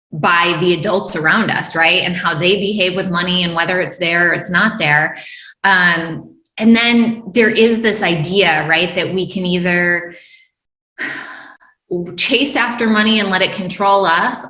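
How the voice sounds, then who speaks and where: A woman's voice: on the low side, pushed and bright, echoey room, rough recording. A woman hosting an interview podcast, upbeat and effusive as she introduces her guest and asks questions. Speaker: podcast host.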